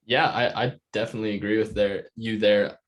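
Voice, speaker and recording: A man hosting a podcast interview in a casual, tentative way, with informal and halting phrasing. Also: fairly low pitch, steady tone, muffled recording.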